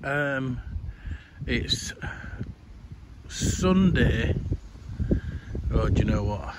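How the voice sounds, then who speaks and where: A middle-aged man with a croaky, worn-out voice, chatting in a rambling way while he gardens outdoors. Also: mid-range voice, lively intonation, drawn-out words, very noisy. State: croaky voice, coughing. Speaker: allotment gardening vlogger. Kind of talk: casual garden update to camera.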